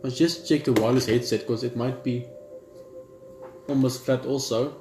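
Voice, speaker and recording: Echoey man reading game text haltingly. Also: medium pitch, fairly even intonation, noisy background. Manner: halting, repeats words while reading. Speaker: gaming video host. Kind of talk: reading game text aloud with casual asides. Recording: echoey room with faint game music. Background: game music.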